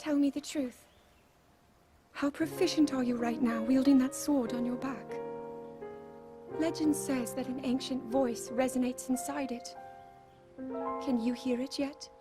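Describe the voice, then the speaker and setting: A woman's voice: high voice, steady tone, a little slow, pauses often, over loud music. A woman playing a studious, proud young princess in a video game drama, speaking formally and precisely. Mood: probing, stern.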